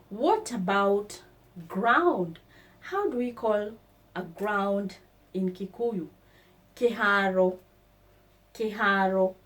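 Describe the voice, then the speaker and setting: A woman teaching vocabulary, saying each word slowly and repeating it. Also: medium pitch. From Kenya. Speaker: language teacher. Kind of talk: slow vocabulary lesson.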